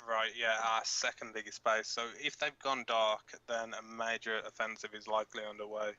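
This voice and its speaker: An actor playing a brash, hot-headed resistance fighter co-hosting an underground broadcast in a radio drama, talking bluntly and informally with impatience. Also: male voice, lowish voice, little pitch movement, moderate pace, sharp tone, smooth, no echo, lo-fi sound. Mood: urgent, wary.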